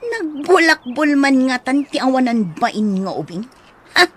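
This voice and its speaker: A woman playing a protective, outspoken older household helper in an audio drama, husky and emphatic, with a Filipino accent. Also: high voice, sing-song, a little slow, a bit bright, full character voice. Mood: earnest, explaining. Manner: exclaiming, emphatic.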